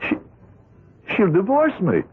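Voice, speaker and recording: An actor in a 1970s radio drama playing a despairing, hesitant married accountant deep in gambling debt. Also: male voice, huge pitch swings, breathy edge, phone-quality sound, full character voice. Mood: anguished, evasive. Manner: hesitant, halting, anguished. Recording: band-limited old radio broadcast, music behind.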